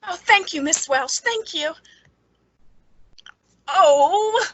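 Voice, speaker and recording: Anxious, high-voiced reclusive spinster. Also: female voice, expressive, heavily acted. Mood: nervous, hesitant. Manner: hesitant, fretful, halting. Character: anxious reclusive spinster, the town's queer one. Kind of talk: old-time radio suspense acting.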